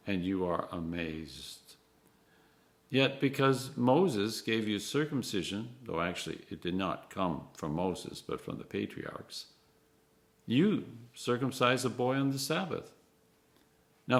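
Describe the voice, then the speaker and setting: Expressive man reading scripture aloud. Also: lowish voice.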